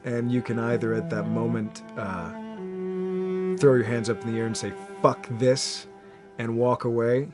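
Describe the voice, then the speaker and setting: Medium-pitched man reflecting over guitar music. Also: little pitch movement, normal speed. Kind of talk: reflective documentary interview.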